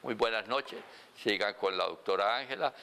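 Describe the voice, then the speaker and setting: A man's voice: fairly low pitch, normal speed, pushed and bright, smooth. A man hosting a TV program, effusive and courteous as he signs off. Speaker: TV program host.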